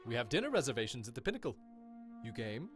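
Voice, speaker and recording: A man playing a friendly, eager advocacy group leader making a persuasive pitch in an audio comic. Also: big pitch swings, fast, natural voice. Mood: upbeat. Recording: music behind the voice.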